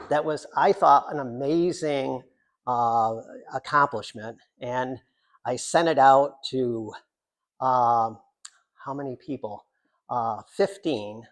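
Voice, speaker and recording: An older American man, a poet introducing his work at a reading in an informal, halting, digressive way with wry, self-deprecating humour. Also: mid-range voice. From Central New York, United States. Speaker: poet reading from own book. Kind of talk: informal author talk at a poetry reading.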